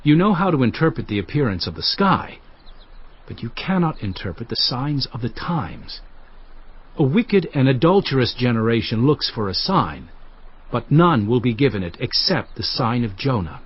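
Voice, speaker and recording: An actor playing a commanding, earnest male teacher in a dramatized audio Bible, speaking emphatically and deliberately. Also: medium pitch, big pitch swings, husky, muffled recording. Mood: stern, exasperated.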